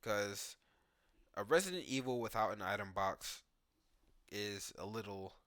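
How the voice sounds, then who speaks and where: A man's voice: on the low side, expressive, unhurried, a bit bright. A man giving casual reaction commentary on video game footage, talking informally and thinking aloud as a gaming YouTuber.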